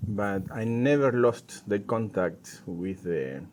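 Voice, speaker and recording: A middle-aged man with an Argentine accent, a native Spanish speaker, chatting casually and haltingly as a tango dancer being interviewed. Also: on the low side, lively intonation, darkish tone. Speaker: professional tango dancer and teacher, interview guest. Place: outdoors in a garden. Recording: noisy outdoor ambience.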